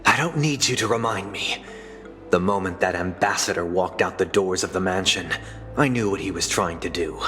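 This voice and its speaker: An aristocratic schemer in a video game, composed and controlling. His low voice is polished and condescending, quietly threatening over faint music. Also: normal speed. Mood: cold, threatening. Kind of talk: scripted video game cutscene acting.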